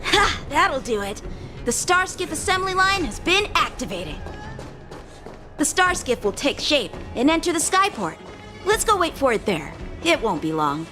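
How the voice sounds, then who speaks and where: Game role: eager teen boy swordsman, high, very lively pitch, candid, music underneath. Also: unhurried. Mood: excited. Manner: boyish, candid, expressive. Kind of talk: English game voice acting. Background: background music.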